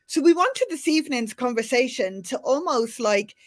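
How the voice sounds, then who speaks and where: A middle-aged Irish woman with a fairly high voice hosts a relaxed panel conversation over a video call. Her accent has softened over the years, and she talks in a chatty, animated way, often restarting her sentences. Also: moderate pace. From Ireland. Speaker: host. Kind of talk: casual panel chat.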